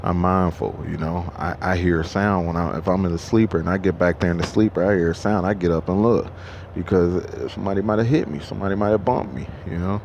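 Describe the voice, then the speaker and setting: A man's voice: low-pitched, steady tone, quick pace, gravelly, a little mellow, highs cut off, slight background noise. A truck driver in his thirties talking casually to camera at a truck stop, rambling and profane, with many restarts. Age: 30s.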